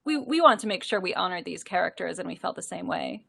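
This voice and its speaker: A woman's voice: lowish voice, lively intonation, fast, gravelly, a bit bright. A woman who narrates audiobooks, chatting animatedly on a live stream, often stumbling into restarts and laughing. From central Florida. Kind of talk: casual live chat.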